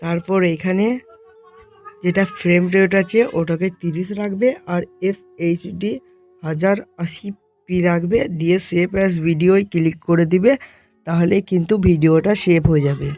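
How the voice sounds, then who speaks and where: A tutorial host narrating software steps one by one, speaking informally to viewers as friends. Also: medium pitch, steady tone, normal speed, no echo, lo-fi sound, faint music behind.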